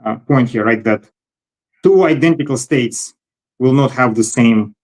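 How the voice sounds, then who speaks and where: A man's voice: medium pitch, a little slow, darkish tone. A man hosting a casual technical discussion, thinking aloud tentatively and repeating words as he goes. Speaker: host.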